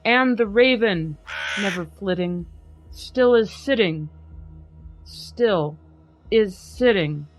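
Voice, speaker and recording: Slow dramatic woman reading poetry. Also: mid-range voice, animated, soft background music. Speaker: podcast host. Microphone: video call.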